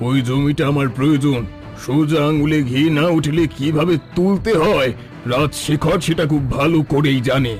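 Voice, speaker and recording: A scheming village headman in a cartoon story, cold and threatening as he talks down to poor villagers, voiced by a man. His voice sits a little high. Soft music plays underneath. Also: fairly even intonation, normal speed, close to an everyday voice. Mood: menacing.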